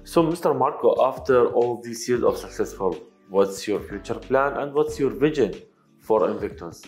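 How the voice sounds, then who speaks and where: A man's voice: medium pitch, lively intonation, moderate pace, darkish tone. A man with an Arabic accent presenting and interviewing formally as a show host. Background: background music.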